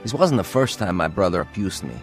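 Radio drama narrator: earnest first-person confession, lowish voice, animated intonation. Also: male voice, fairly quick, soft background music. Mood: confessional. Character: earnest man recounting his troubled youth.

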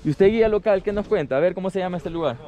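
A young man from Latin America excitedly vlogging his travels, talking to viewers as friends on an action camera held at arm's length. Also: high-pitched, very fast, a little hiss or hum. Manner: enthusiastic, exclaiming, addressing viewers as friends. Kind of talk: casual travel vlog. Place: cable car and mountain-top terrace, indoors and outdoors. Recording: music playing under the voice.

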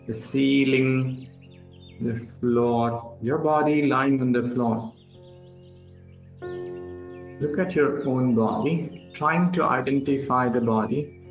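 Male meditation guide, mid-pitched and slow, calm and instructional, roomy sound with music beneath. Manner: calm, instructional, short phrases with pauses. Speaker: yoga instructor. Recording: roomy echo with music underneath.

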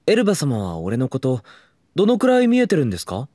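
A man's voice: fairly high pitch, fast, no echo, clean studio sound. An actor playing an eager, affectionate, puppyish younger man in a Japanese drama CD. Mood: searching, affectionate. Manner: pleading, excitable, murmuring inner monologue.

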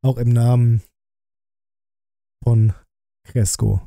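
A man gives casual live-stream commentary in a very mellow, relaxed voice. He sounds close to the microphone in a dry room, chatting directly to his viewers. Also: mid-range voice, extremely slow, vocal fry, no echo. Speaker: streamer reacting to a film review. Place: small room at a streaming setup. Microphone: close desk microphone, headset worn.

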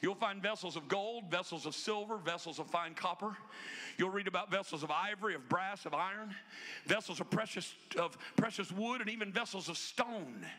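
A man in his fifties preaching a sermon in a church sanctuary, emphatic and often shouting, with quick joking asides. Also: high voice. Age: 50s. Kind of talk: energetic Pentecostal sermon. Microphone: handheld microphone.

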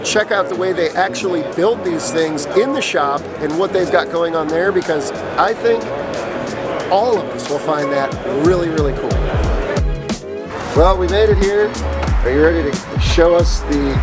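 Excited young man hosting a vlog. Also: a little high, moderate pace. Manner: upbeat, excited. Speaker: vlog host. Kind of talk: enthusiastic vlog presenting. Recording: loud background music.

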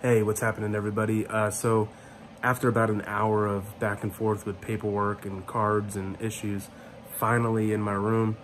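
A man in his thirties talking casually and haltingly to a phone held at arm's length in a small room. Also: on the low side, level tone, slight background noise. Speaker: vlogger. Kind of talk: casual vlog sign-off to camera.

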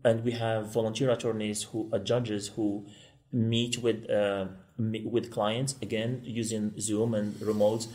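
A man from Morocco, a law school guest, speaking quickly and earnestly in a podcast interview. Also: on the low side, little pitch movement, darkish tone.

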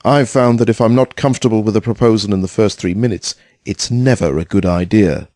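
A man's voice: lowish voice, brisk, warm tone, close to an everyday voice. A man playing a blunt, dismissive, hard-nosed investor in an audio drama, curt and sardonic in manner. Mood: skeptical, brisk.

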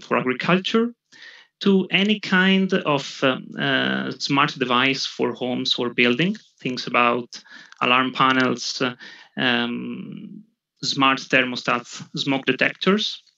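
Italian man presenting with halting fillers. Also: mid-range voice, vocal fry, sharp tone. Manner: halting, many fillers and restarts. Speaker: product marketing head presenting. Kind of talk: webinar presentation. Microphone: webcam video call. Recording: dull lo-fi video call audio.

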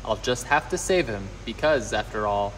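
A man voicing a gentle, protective elephant in a storybook, speaking with sincere, emphatic pleading. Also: fairly low pitch, expressive, moderate pace, in a large hall, slight background noise, lightly acted, low-quality recording. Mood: earnest pleading. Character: kind, earnest, protective gentle male elephant.

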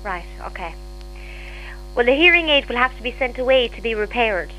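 A woman's voice: on the high side, lively intonation, normal speed, fairly bright tone, slight background noise, rough recording. An Irish-accented woman handling a customer service call, patiently repeating and clarifying as a shop receptionist. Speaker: hearing aid shop receptionist.

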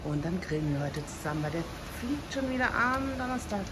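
A woman's voice: fairly low pitch, expressive, brisk, noisy background. A woman in her thirties talking casually to her phone camera at arm's length, chatty and at times indignant. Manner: chatty, indignant when complaining. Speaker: vlogger. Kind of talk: casual vlog talk to camera. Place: indoors at home and in shops, some outdoors.

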